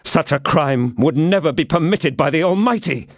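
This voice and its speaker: A man voicing a grave older musketeer who speaks in a low, faltering, solemn way. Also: animated, moderate pace, dry recording, clean studio sound.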